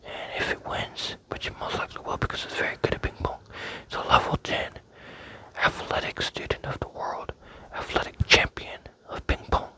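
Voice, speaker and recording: A young man rambling playfully in a close-miked ASMR whisper, with many fillers. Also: moderate pace. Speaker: ASMR creator.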